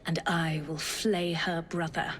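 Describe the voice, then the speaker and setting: Actress as slow, ruthless villainess. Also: female voice, on the low side, little pitch movement, a little hiss or hum, natural voice. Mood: vengeful. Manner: cold, deliberate, menacing. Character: ruthless, vengeful female villain, devoted lieutenant. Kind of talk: dramatic video game acting.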